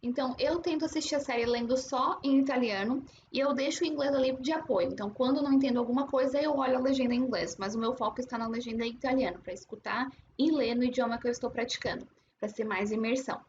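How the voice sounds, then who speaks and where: A woman's voice: a little high, fast talker, a little mellow, muffled recording, slight background noise. A young adult woman, casually explaining her study apps step by step as host. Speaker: language-learning host. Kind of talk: casual screen-share tutorial.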